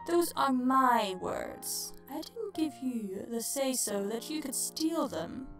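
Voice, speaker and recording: A woman voicing a menacing, taunting ghost with a sneering, drawn-out delivery, in a storybook reading. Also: high voice, lively intonation, over music, exaggerated voice.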